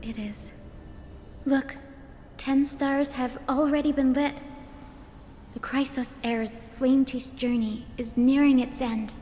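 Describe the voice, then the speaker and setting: A woman voicing a gentle, polite young priestess healer in a video game, courteous and earnest. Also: sits high, fairly even intonation, takes it slowly, long pauses, slight background noise. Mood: reverent. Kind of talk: video game character acting. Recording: long reverb, music behind.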